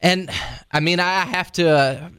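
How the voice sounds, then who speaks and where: A man's voice: sits high, steady tone, measured pace, sharp tone, clear, resonant tone. A man hosting an interview, talking casually and rambling with many hesitations and chuckles. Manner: rambling, hesitant, laughing asides. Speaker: radio interviewer.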